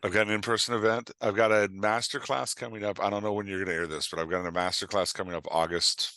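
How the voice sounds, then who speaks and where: A man's voice: on the low side, fairly even intonation, fast talker, sharp tone, no room sound, studio quality. A middle-aged man chatting casually as a podcast guest, informal and jokey, rambling as he goes. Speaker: podcast guest, author and writing coach. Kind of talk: casual chat.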